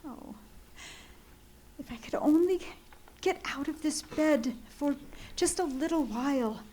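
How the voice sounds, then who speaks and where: An actress playing an anxious, demanding bedridden wife in an old-time radio thriller, flustered and easily exasperated. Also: female voice, sits high, slow pace, mellow. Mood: impatient. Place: live theatre stage radio play.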